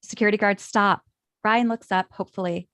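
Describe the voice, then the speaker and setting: A woman's voice: medium pitch, steady tone, brisk, a bit bright, dry recording, studio quality. A woman reading scripted stage directions as narrator at a table read.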